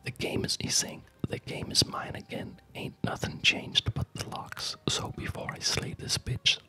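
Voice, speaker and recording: A man speaking in a breathy ASMR whisper, explaining things casually in a halting, digressive way. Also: sharp tone. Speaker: ASMR video host.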